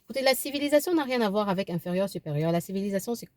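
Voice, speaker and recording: A woman's voice: medium pitch, animated, racing, darkish tone, no echo, studio quality. A woman in her thirties from eastern Congo, whose first language is Kibembe, talking casually to camera from inside her car, repeating herself and restarting with many fillers. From eastern Congo (DRC). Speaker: vlogger. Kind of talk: casual opinion vlog.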